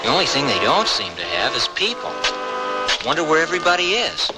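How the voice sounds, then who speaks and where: Young Western drifter, widely varied pitch. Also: male voice, wildly swooping pitch, brisk, over music. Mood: musing. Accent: American. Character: young Western drifter on foot, guarded but easygoing. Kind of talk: old-time Western radio drama acting. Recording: noisy old radio drama recording.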